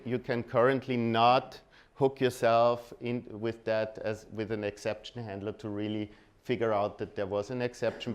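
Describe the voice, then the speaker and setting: A man with a fairly low voice and an Austrian accent gives a technical presentation. He is a native German speaker. His delivery is informal and halting, and he often rephrases mid-sentence. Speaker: conference speaker.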